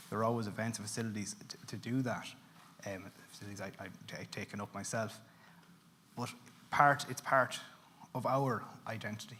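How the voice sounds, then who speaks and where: A young Irish man speaking on a panel, earnest and halting, often repeating words. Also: fairly low pitch, fairly bright tone. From Ireland. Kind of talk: panel discussion. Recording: reverberant hall, faint ambient noise.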